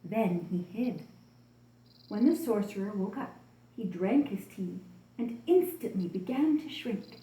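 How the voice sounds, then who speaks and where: Bright-toned woman storyteller in an echoey room. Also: medium pitch. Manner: expressive, deliberate storytelling addressed to young listeners. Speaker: children's storyteller. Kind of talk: expressive scripted story reading for children.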